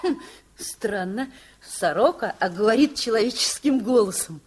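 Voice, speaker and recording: A woman's voice: a little high, animated, a little slow. A woman playing a lazy, timid schoolboy in a Soviet radio play, speaking in an offhand, sheepish way. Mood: offhand, musing. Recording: noisy old radio recording, clicks.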